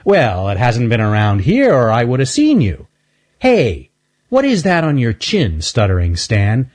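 A man voicing a cocky male chipmunk who teases breezily, in a raised, husky voice. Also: mid-range voice, huge pitch swings, unhurried, darkish tone, voice pushed up. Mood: teasing. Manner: teasing, breezy. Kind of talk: storybook character voice.

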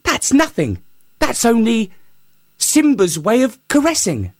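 A man voicing a sly, courtly male hare trickster in a high, wheedling voice. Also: huge pitch swings, slow, darkish tone, no echo, studio quality, full character voice.

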